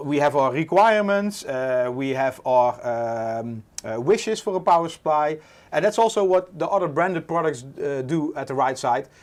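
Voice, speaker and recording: A Dutch man in his forties with a Dutch accent, hosting a casual livestream, relaxed and full of "uh" fillers. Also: on the high side. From Netherlands. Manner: relaxed, many "uh" fillers, reads chat aloud. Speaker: livestream host.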